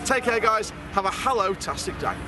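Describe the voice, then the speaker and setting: Upbeat high-voiced vlogger. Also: male voice, animated, normal speed. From northern England. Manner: upbeat, chatty, many fillers and restarts. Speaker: theme park vlogger. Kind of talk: enthusiastic vlog review and sign-off. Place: outdoor scare-maze event at night. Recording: loud music and crowd noise outdoors. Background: loud music and crowd.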